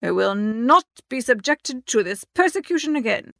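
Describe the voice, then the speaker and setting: Stuffy old duke for a comic audiobook, played by a woman: stiffly formal, pompous, gravelly creak, dry sound. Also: a little high, expressive, moderate pace, a bit bright, dry recording. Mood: stiff, haughty. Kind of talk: audiobook character reading.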